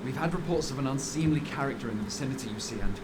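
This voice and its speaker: An actor playing a dutiful city guard in a game cutscene, formal and officious in manner. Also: male voice, medium pitch, animated, rapid-fire, heavy background noise, natural voice. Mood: apologetic, insistent. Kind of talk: video game cutscene acting. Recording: clear music behind the voice.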